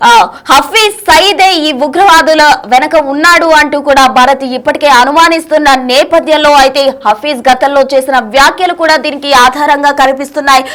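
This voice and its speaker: A woman's voice: high-pitched, a bit bright. A woman with a Telugu accent presenting news commentary in repetitive, formulaic phrases.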